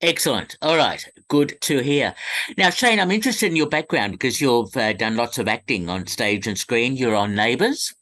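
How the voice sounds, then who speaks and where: A man's voice: medium pitch, expressive, moderate pace, fairly bright tone. A man hosting an interview in a conversational style, asking questions and acknowledging answers. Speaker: interviewer, radio show host.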